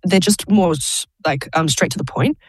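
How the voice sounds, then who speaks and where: A woman's voice: on the low side, normal speed, husky, no room sound, clean studio sound. A young Australian woman, an international student, chatting in an interview, laughing often and filling her talk with "like" and "um". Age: young adult. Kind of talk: casual interview.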